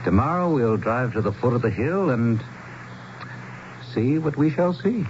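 An actor playing a loyal, cautious small-town mayor in a 1970s radio drama, with an earnest, measured and rather formal manner. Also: male voice, mid-range voice, animated, a little mellow, faint music behind, natural voice. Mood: practical, cautious. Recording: dull, noisy 1970s radio broadcast.

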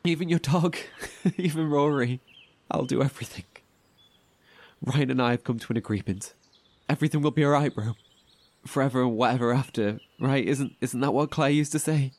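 An actor in an audio horror drama playing an anxious, devoted brother, halting and stammering with emotion. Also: male voice, medium pitch, darkish tone, close to an everyday voice. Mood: emotional, hopeful. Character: anxious, devoted, grief-stricken brother surviving apart.

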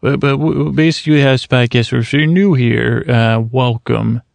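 A middle-aged man hosting a sleep podcast, with a creaky voice and a slow, meandering, soothing way of talking full of restarts. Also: medium pitch, no room sound. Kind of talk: rambling sleepy monologue.

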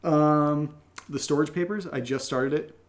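A man's voice: a little high, fairly even intonation, a little slow. A young man co-hosting a podcast in a casual, unscripted question-and-answer chat, with a playful, joking, informal manner. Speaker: podcast co-host and creator.

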